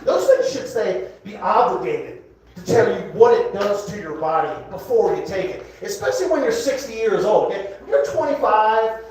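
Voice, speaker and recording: Man, 60s, stand-up comic, high voice, jittery rambling banter, distant echoey club, crowd laughter. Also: very animated, normal speed, darkish tone, a little hiss or hum. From Florida. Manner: wired, rambling, self-mocking crowd banter. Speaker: headlining stand-up comedian. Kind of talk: stand-up comedy set. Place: comedy club stage. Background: audience laughing.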